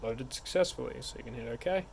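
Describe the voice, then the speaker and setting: A man's voice: mid-range voice, animated, a little fast, creaky. Man giving a tutorial over background noise. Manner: informal, step-by-step explaining. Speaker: tech support instructor. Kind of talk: hands-on tutorial narration.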